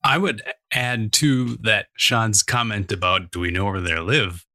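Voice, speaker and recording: A man's voice: fairly low pitch, measured pace, bright, forceful tone, dry recording, clean studio sound. A man from Minnesota speaking reflectively as a guest on a conversational panel. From Minnesota, USA. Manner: reflective, thoughtful, some self-restarts.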